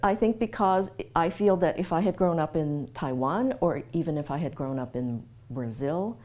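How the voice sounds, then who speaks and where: A woman's voice: low-pitched, expressive, normal speed, a little mellow. A woman giving a reflective documentary interview about herself, speaking in a thoughtful, articulate way. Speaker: artist.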